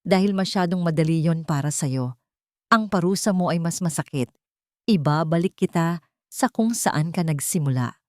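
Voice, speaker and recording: A woman playing a cold, stern executive who speaks in measured, commanding words in an audio drama. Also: on the low side, lively intonation, no room sound, clean studio sound, close to an everyday voice. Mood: icy judgement.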